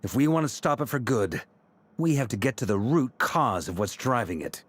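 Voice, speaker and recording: A man voicing a smooth, persuasive, easygoing knight strategist in a video game. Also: mid-range voice, animated, moderate pace, a little hiss or hum, close to an everyday voice. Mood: serious.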